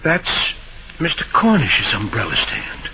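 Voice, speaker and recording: A man's voice: medium pitch, huge pitch swings, a little slow. An actor playing a helpful male witness pointing the finger in an old-time radio drama. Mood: confirming, accusing. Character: helpful male witness accusing his colleague. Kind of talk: 1940s radio drama acting. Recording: tinny old radio, loud crackly background.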